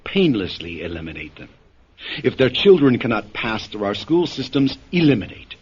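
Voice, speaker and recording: A man's voice: medium pitch, big pitch swings, normal speed, breathy edge. A man playing a weary lord who crusades for justice in a radio drama, impassioned and bitterly sardonic, on a dull vintage recording. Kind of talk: golden-age radio drama acting.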